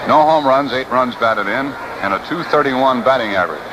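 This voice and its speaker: A man's voice: medium pitch, a little fast, highs cut off. A man with an American accent calling baseball play-by-play as live radio sports commentary on a 1960s broadcast. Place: outdoor ballpark broadcast booth. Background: steady noise and outdoor ambience.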